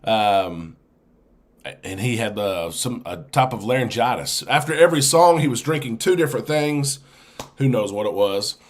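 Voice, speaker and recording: Host, male, head cold, friendly live-chat patter, even mid pitch. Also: normal speed. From United States. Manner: friendly, chatty, sniffling. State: congested with a chest cold. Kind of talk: live-stream casual chat, greeting viewers.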